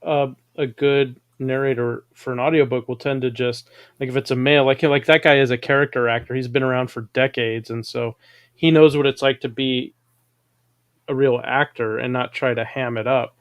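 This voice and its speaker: A man's voice: medium pitch, steady tone, normal speed, no echo, clean studio sound. A man hosting a live stream, chatting casually, reading viewer comments aloud and laughing often. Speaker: show host.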